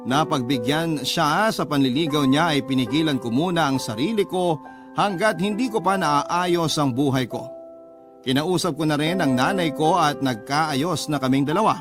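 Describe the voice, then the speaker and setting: A man's voice: fairly high pitch, close to an everyday voice. A man reading a first-person love story letter as scripted narration, with music behind him. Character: letter sender telling own love story. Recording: clear music bed behind voice.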